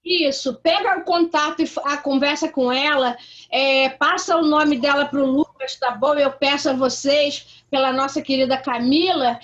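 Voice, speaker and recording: A woman's voice: sits high, fairly even intonation, moderate pace, a bit bright, highs cut off. A woman on a video call, giving effusive thanks and blessings. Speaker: meeting participant. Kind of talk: casual video-call talk.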